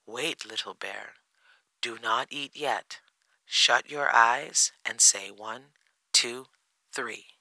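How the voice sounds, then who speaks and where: Storybook reader as male cat, skeptical, bossy yet kind, bright, unhurried. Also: medium pitch, creaky, dry recording, exaggerated voice, rough recording. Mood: instructing. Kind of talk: children's storybook character reading.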